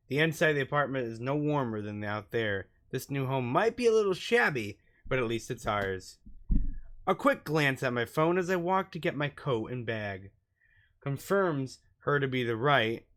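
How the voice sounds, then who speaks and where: A man's voice: mid-range voice, sing-song, moderate pace, a bit bright. A man doing let's play commentary and reading game text aloud, sometimes stumbling over the words. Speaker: gaming YouTuber. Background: background music.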